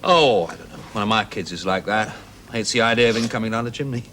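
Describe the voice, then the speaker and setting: An actor playing a self-assured, paternal research boss, coaxing and persuasive in 1970s television drama style. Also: male voice, on the low side, quick pace, fairly bright tone, close to an everyday voice. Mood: light, coaxing. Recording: faintly hissy old television soundtrack.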